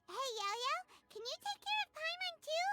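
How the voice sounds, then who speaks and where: A woman voicing a tiny, excitable, childlike fairy companion with a squeaky voice in an anime game. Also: moderate pace, faint music behind, full character voice. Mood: cheerful. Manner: refers to self in third person, exclamatory.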